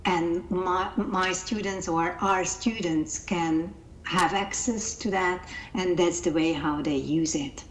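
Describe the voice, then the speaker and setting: A woman's voice: fairly low pitch, fairly even intonation, reverberant, slight background noise, poor audio. A woman with a Hungarian accent, a native Hungarian speaker, talking earnestly in an interview over a video call, often restarting her sentences. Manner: earnest, halting, with repeated restarts. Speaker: guest, coach and founder.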